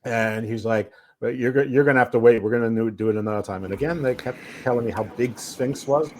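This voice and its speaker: A man's voice: medium pitch, fast talker, a little mellow, a little hiss or hum. A man co-hosting a podcast, reviewing wrestling in casual chat, rambling with restarts and chuckles. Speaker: podcast co-host.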